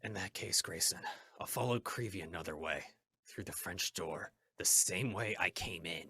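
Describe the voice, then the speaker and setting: Man playing low, husky, commanding masked vigilante. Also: bright, forceful tone, exaggerated voice. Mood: firm warning. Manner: commanding, formal, deliberate phrasing. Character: commanding masked male crime-fighting vigilante, stern and righteous. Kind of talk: old-time radio drama acting.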